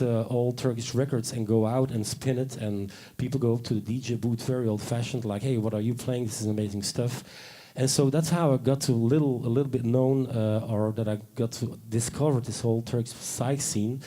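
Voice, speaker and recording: A man's voice: medium pitch, steady tone, a little fast, warm tone. A man moderating a live panel talk in front of an audience, rambling eagerly with many fillers and self-corrections.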